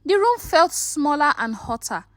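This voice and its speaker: A woman's voice: sits high, big pitch swings, a little slow, fairly bright tone. A woman storyteller narrating a scripted story in a dramatic way.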